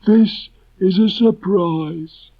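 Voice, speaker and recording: A man voicing a gloomy, self-important old donkey in a children's storybook, declaiming in a ponderous, halting, doleful way. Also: high-pitched, voice pushed up, heavily acted. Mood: aggrieved. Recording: muffled old cassette tape transfer.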